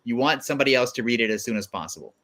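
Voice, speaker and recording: A man's voice: mid-range voice, expressive, very fast, fairly bright tone. A man guest-hosting a casual panel chat, talking conversationally and acting out little bits of dialogue. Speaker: guest host.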